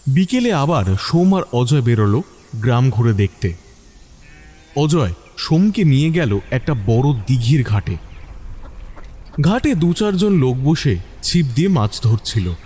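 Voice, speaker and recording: A man narrating a scripted mystery story in a dramatic, expressive style. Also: mid-range voice, measured pace, pauses often, husky.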